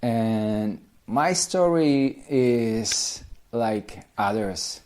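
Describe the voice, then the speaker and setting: A man's voice: medium pitch, animated, drawn-out words, darkish tone. A man in his forties from Poland with a Polish accent, speaking English as a second language, talking casually to camera as a language teacher, repeating himself with small grammar slips.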